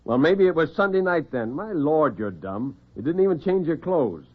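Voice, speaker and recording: A man's voice: fairly high pitch, big pitch swings, normal speed, pitched above the performer's own voice. A man voicing a blustering, impatient policeman who bullies and accuses, in classic radio drama style. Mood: accusing, exasperated. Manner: bullying, exasperated. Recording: narrow-band vintage radio recording.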